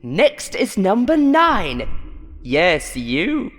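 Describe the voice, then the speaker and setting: A woman's voice: medium pitch, wildly swooping pitch, drawn-out words, in a large hall, pitched above the performer's own voice, exaggerated voice. A woman voicing a cruel, haughty game show host in a horror story, switching between theatrical announcer tones and contempt. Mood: mocking, showy.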